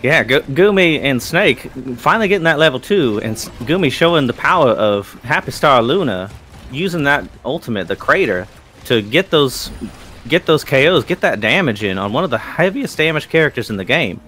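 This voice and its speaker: A man casting an online esports tournament, calling plays with building excitement. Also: medium pitch, slight background noise. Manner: excitable, rising into fast hype calls. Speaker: esports caster. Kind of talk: play-by-play commentary. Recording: faint game music behind.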